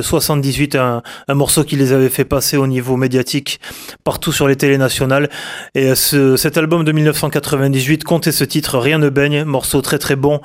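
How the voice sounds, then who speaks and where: A man speaking as a radio host, rattling through his introduction at a brisk pace. His voice sits a little high for a man, and the delivery is upbeat and chatty. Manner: lively, chatty, fast hype delivery.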